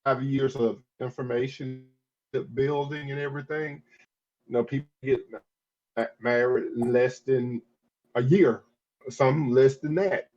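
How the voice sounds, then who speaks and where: A man's voice: medium pitch, fairly even intonation, a little slow, darkish tone. A man in his fifties talking casually on a podcast, rambling with many restarts and repeated "I mean"s. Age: 50s. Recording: dull lo-fi audio.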